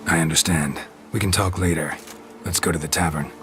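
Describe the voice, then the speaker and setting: A man voicing a gruff, stoic monster hunter in a video game, speaking in terse, deadpan, clipped phrases. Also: low voice, brisk, noisy background, lightly acted. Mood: terse, businesslike. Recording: music behind the voice. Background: music.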